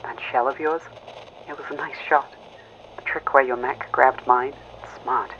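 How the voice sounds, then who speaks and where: A wounded young imperial woman pilot, acted by a man in a sci-fi audio drama. The voice is gravelly and clipped, prickly but anxious underneath. It comes through a muffled radio with faint noise. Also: mid-range voice, fairly even intonation, pushed and bright, voice pushed up. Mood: anxious, hurting. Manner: clipped, guarded, halting. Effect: radio filter. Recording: fuzzy band-limited radio with faint noise.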